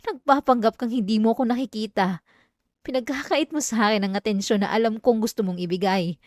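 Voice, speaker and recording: A woman's voice: a little high, a little fast, no echo, lightly acted. A young woman with a Filipino accent voicing an obsessive, possessive female classmate in an intimate, dramatic ASMR roleplay, addressing the listener up close. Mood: sulky, pleading. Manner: intimate, pleading turning coldly threatening, dramatic. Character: obsessive yandere female classmate, clingy, wounded, menacingly possessive. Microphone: close microphone, dry sound.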